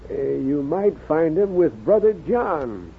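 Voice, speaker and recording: Casting: meek old frontier saloon sweeper, high cracked voice, very slow and servile, old radio sound. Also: male voice, big pitch swings, exaggerated voice. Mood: meek, obliging. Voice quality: creaky, cracked. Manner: halting, drawn-out, deferential. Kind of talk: 1950s radio western acting. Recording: muffled, hissy 1950s radio recording.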